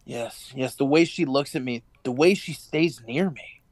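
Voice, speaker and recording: Man performing anxious male clerk, furtive, hesitant, deferential, medium pitch, radio drama. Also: a little slow. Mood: defensive, uneasy. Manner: hesitant, nervous, stammering.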